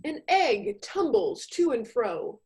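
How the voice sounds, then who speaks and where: A woman's voice: a little high, sing-song, slow, a little mellow. A woman giving wry, theatrical scripted comedy narration. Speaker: comedy troupe performer, narrator.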